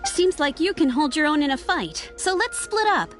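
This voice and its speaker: A woman's voice: sits high, very animated, moderate pace, soft background music. A woman playing a confident, decisive young warrior woman in a video game.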